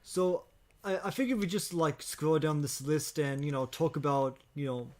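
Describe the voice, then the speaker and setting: A man hosting a casual podcast chat, speaking haltingly and often stammering and repeating his words. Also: on the high side, a little mellow. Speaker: podcast host.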